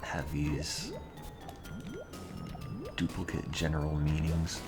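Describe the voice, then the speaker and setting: Super low-voiced young guy rambling dryly on stream, music going behind him. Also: little pitch movement, takes it slowly. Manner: dry, rambling and offhand. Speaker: livestreamer answering chat. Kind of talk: casual stream chat. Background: music playing.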